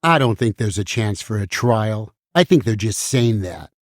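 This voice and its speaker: A woman's voice: very deep voice, expressive, moderate pace, no echo, studio quality. A woman playing a weary, caring book puppet in a musical puppet show, earnest and confiding. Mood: worried, resigned.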